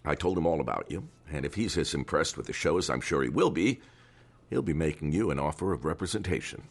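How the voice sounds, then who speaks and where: A man playing an older theater owner in an audio drama, formal and candid, speaking with measured authority. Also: extremely low pitch, lively intonation, exaggerated voice. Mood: generous, businesslike. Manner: measured, formal, patronizing yet appreciative.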